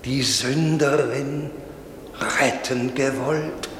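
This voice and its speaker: Solemn old priest, very slow, echoing. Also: male voice, medium pitch, fairly even intonation. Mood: stern questioning. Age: older. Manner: measured, declamatory, rhetorical pauses. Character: solemn old priest, eldest father, preaching sternly. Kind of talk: 1950s German radio drama sermon. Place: large reverberant space. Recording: echoey church-like hall, noisy 1950s radio recording.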